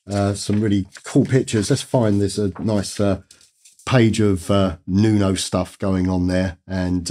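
An English man in his fifties teaching guitar to camera, croaky, nasal and gruff from a cold, chatty and rambling. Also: fairly low pitch, mellow, slight background noise. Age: 50s. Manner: chatty, rambling, enthusiastic with fillers. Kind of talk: casual guitar lesson talk to camera. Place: dark studio indoors.

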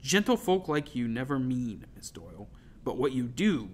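A man's voice: medium pitch, very animated, normal speed, slight background noise, lightly acted. A man voicing a hulking, hostile male sailor in an audiobook, blunt and scornful, with a growling delivery. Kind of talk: audiobook character acting.

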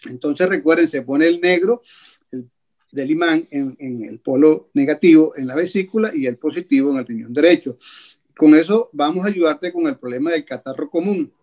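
An older man hosting a health show over a video call from a small room at home, speaking in a measured way and listing things slowly with pauses. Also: on the high side, darkish tone. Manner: measured, lists items slowly with many pauses. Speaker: host. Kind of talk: radio-style health show presenting. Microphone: video call webcam.

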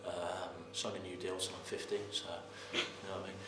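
Low, flat-voiced footballer, roomy sound. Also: male voice. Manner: halting, repeats words, many ums. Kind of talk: post-match interview. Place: press room in front of sponsor board. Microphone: camera mic, head and shoulders framing. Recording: roomy with faint noise.

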